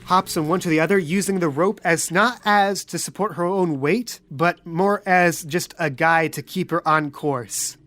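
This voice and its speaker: A woman's voice: fairly low pitch, measured pace, hardly any pauses, fairly bright tone, dry recording. A woman narrating a tabletop roleplay podcast as game master.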